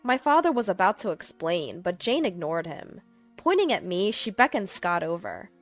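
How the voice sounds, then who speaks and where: A young woman narrating her own story calmly in the first person. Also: on the low side, normal speed. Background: faint music.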